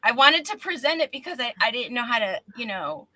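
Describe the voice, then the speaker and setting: A woman's voice: a little high, very animated, fairly quick, sharp tone, rough recording. A woman hosting a casual live-streamed interview, speaking in an encouraging, affirming manner. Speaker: talk show host.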